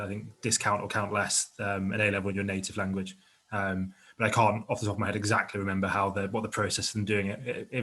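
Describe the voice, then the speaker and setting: A man's voice: lowish voice, a bit bright. A man answering questions informally as a webinar panellist, explaining in a tentative, hedging way. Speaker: webinar panellist, admissions adviser. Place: online webinar.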